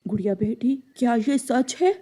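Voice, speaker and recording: A woman voicing a gentle, worried, protective father bird with an earnest manner in a cartoon story. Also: a little high, normal speed, close to an everyday voice. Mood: grave.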